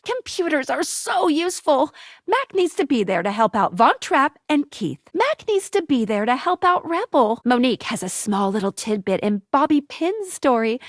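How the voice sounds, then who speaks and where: Bubbly, high-pitched game guide gal. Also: female voice, no echo, studio quality. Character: peppy, helpful young female guide character in a dating game. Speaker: video game voice actor. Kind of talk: scripted game hint dialogue.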